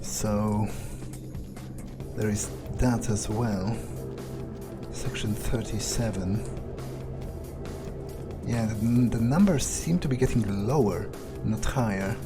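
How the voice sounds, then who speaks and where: A man role-playing a wary, foul-mouthed vampire in a game, thinking aloud in a hesitant, muttering monologue with pauses and swearing. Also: on the low side, over music. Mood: wary, uncertain. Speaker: gameplay video creator role-playing his character. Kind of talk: in-character improvised gameplay monologue, thinking aloud.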